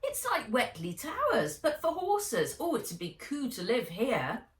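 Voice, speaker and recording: A woman's voice: on the high side, huge pitch swings, a little slow, pitched above the performer's own voice. A woman playing a plucky, earnest young girl heroine in a children's audiobook. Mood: eager. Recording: roomy, echoey room sound.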